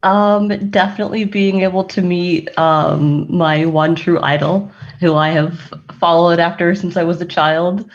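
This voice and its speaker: A player voicing an awed, sincere young pop group newcomer in a tabletop game, speaking haltingly. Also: medium pitch, steady tone, natural voice. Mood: awed, happy. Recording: dull, muffled microphone.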